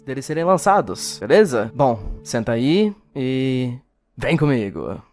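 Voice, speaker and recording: A man's voice: mid-range voice, big pitch swings, measured pace, darkish tone. A Brazilian man narrating a horror explainer video in a conversational way, chatting directly to viewers. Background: background music.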